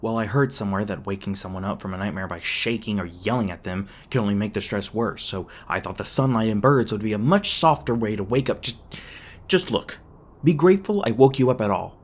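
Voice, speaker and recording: Man acting a low-voiced, gruff but caring hunter. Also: fairly quick, close to an everyday voice. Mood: gentle, reassuring, then defensive, irritated. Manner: hesitant, casual, sweary, awkwardly softening. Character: gruff, defensive young male monster hunter, secretly caring. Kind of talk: intimate ASMR roleplay acting.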